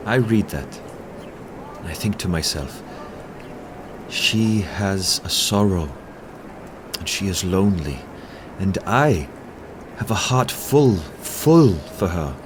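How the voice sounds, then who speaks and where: A humble, lovelorn professor in a period radio play, voiced by an actor. He speaks very slowly in a low voice, tender and earnest. Clear ambient noise sits behind him. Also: animated. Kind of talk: audio drama acting.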